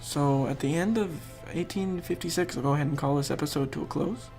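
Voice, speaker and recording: Stuffy young guy mumbling through a strategy game, gravelly, soft music behind. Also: fairly high pitch, a little fast. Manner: rambling, thinking aloud while playing. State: congested, losing his voice. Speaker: gaming video host. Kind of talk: casual gameplay commentary.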